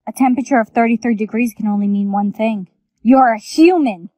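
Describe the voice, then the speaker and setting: A stern, accusing female character, acted by a woman in a scripted skit. The voice is high and dryly recorded, with a dramatic, declarative delivery. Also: a little fast, mellow, no echo, natural voice.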